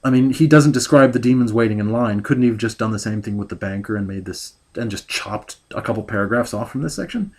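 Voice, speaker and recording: A man's voice: on the low side, darkish tone. A man giving a critical book review as a rant. He sounds exasperated, stops and restarts often, and records at home on a desktop mic. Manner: exasperated, ranting; halting, full of restarts.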